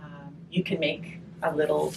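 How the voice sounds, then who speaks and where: A woman reading a picture book aloud slowly and expressively, pausing to chat and put questions to her listeners. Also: sits low, vocal fry. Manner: slow, expressive reading with chatty questions to the audience. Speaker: hospice volunteer reading a picture book to children. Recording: distant mic, faint background noise.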